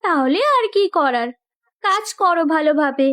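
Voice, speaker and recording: A woman playing an earnest young landlord's daughter in a Bengali cartoon, gently protesting and caring. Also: high-pitched, expressive, moderate pace.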